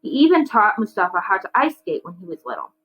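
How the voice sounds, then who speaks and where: A woman reading a children's novel aloud in an expressive storytelling style, acting out the dialogue as she goes. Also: medium pitch, fast, slightly rough. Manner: animated character dialogue.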